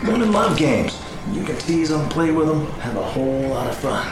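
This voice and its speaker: Actor as cocky stranger, higher-pitched, music behind. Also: male voice, big pitch swings, moderate pace, rough recording. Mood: cocky, advising. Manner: cocky, ingratiating, answers his own questions. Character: smooth-talking, knowing stranger at a casino table. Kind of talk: audio drama acting.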